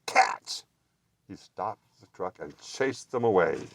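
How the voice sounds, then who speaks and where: A man with a lowish voice reads a children's story aloud. His narration is deliberate and highly animated, sweeping up and down in pitch. The sound is dry and close. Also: dry recording. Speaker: children's bookstore reader.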